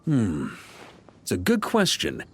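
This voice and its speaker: A seasoned, warm-hearted knight commander for a video game. His low voice moves expressively, relaxed and wry, with reflective weight. Faint music plays behind him. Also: slow, heavily acted. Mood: candid, wry.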